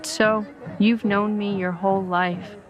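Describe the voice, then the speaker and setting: A woman playing a calm, wise and candid character in a scripted drama. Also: medium pitch, slow, muffled recording, lightly acted. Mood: firm, persuasive. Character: calm, wise, candid Native Alaskan police chief's daughter. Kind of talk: scripted audio drama dialogue. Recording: loud guitar music under the voice.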